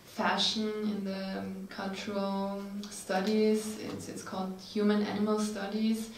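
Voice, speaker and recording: Creaky woman, echoey hall. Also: mid-range voice, completely flat delivery, unhurried. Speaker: author on a panel. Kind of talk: casual panel discussion. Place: stage at a live reading event indoors. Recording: echoey hall from far off, faint noise.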